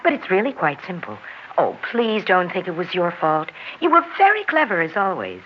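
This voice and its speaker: An actress playing a coolly triumphant, mocking American wife in a 1960s radio drama, full of bitter irony. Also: female voice, on the low side, wildly swooping pitch, normal speed. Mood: cool sarcasm. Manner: mocking, self-deprecating irony. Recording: dull, hissy 1960s radio recording.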